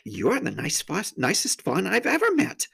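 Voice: mid-range voice, huge pitch swings, moderate pace, gravelly, background noise, voice pushed up, exaggerated voice. A narrator playing a kind, anxious little girl who speaks in an earnest, polite, pleading way. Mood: gentle, earnest.

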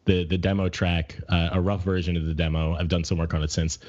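A middle-aged man with a deep voice talking candidly and casually as a podcast co-host, reflecting as he searches for his words. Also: completely flat delivery, fairly quick, a little mellow, muffled recording. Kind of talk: casual personal conversation.

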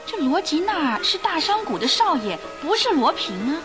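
An actress in a radio drama playing a gossiping ship passenger, chatty, knowing and amused. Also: female voice, very high-pitched, big pitch swings, normal speed. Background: music.

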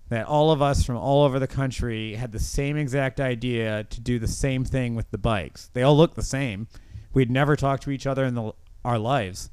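A man's voice: medium pitch, a little mellow, smooth, no room sound. A middle-aged man hosting a podcast, telling stories in a casual, earnest and reflective way. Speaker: podcast host.

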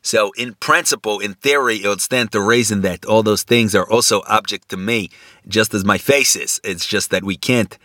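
Halting, bright-voiced male lecturer. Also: on the low side, dry recording, studio quality. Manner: halting, many fillers and restarts, thinking aloud; poses rhetorical questions, asks "right?". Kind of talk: unscripted philosophical lecture.

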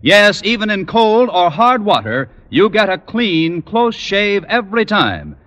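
A male announcer with a high, forceful voice and polished, emphatic delivery. He sounds like vintage radio, lively in pitch. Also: a little slow. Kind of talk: 1940s-50s radio announcing.

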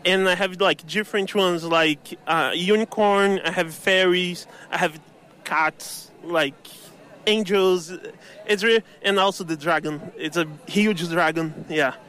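A young Irish man running a candle stall at an outdoor show, high voiced. He talks slowly and haltingly, often pausing to search for words. Traffic sounds now and then behind him. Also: sharp tone. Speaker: candle maker, stall holder.

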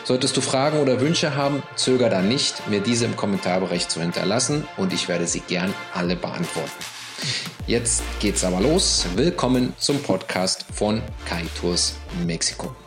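A man's voice: on the low side, normal speed, music in the background. Hesitant man hosting a podcast, echoey room. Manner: hesitant, many fillers and restarts. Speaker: podcast host, tour operator. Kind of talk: casual podcast interview. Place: office, talking over a call.

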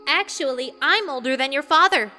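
A woman's voice: very high voice, lively intonation, moderate pace, bright, forceful tone, long reverb tail, faint music behind, voice pushed up, heavily acted. A woman voicing a childlike, ageless forest girl in a story, sensible and motherly toward her friends. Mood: flat irritation.

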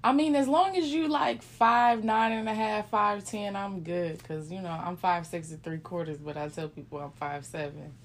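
A woman of thirty co-hosting a casual chat, relaxed and talkative, also reading a business shout-out. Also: mid-range voice, normal speed, hardly any pauses. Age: 30s. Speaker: podcast co-host.

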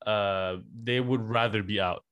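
A man's voice: lowish voice, steady tone, measured pace, fairly bright tone. A man from Malaysia, a stand-up comedian co-hosting and telling stories with dry asides. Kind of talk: casual chat.

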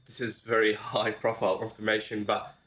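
Low-pitched young man telling his story casually. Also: completely flat delivery, fairly quick, fairly bright tone, low-quality recording. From Iraq. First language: Arabic. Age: young adult. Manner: informal, repeats phrases, frequent "like". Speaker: podcast guest.

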